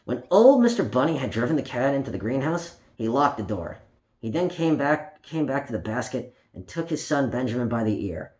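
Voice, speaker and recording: A man's voice: medium pitch, sing-song, brisk, a little mellow. A man reading a children's story aloud in a playful, child-directed way, chatting to his young listeners as he goes. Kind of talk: read-aloud children's picture book with playful asides. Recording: roomy home recording with faint noise.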